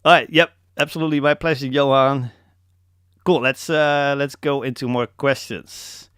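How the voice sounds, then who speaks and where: A man's voice: mid-range voice, steady tone, moderate pace, a bit bright, clear, resonant tone, no echo. Dutch man coaching in a dry room. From Netherlands. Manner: emphatic, repeats key points, calls listeners man. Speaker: mixing coach hosting a live Q&A. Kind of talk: casual coaching talk.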